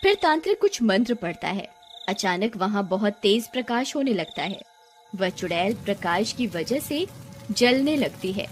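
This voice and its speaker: A female storyteller reads a scripted moral tale at a medium pitch. Background music runs under her narration. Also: sing-song, moderate pace.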